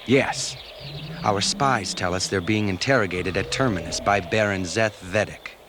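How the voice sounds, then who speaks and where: An actor playing an earnest, resolute rebel fighter in a 1970s space-opera audio drama, with brisk declarative speech. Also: male voice, lowish voice, lightly acted. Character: resolute male rebel swamp fighter, loyal guide. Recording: noisy outdoor sound effects behind.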